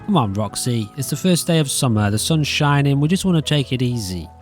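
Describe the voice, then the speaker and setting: A man's voice: medium pitch, expressive, a little fast, pitched above the performer's own voice, lightly acted. A man voicing an easygoing young boy in a story game, over music. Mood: easygoing, friendly. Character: laid-back young boy, easygoing adventurous storybook kid.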